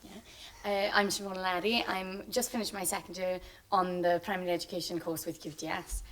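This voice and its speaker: Low, flat Welsh woman, chatty. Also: fairly quick, recorded from a distance. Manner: chatty, rambling. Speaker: student guest. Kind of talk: casual interview answers.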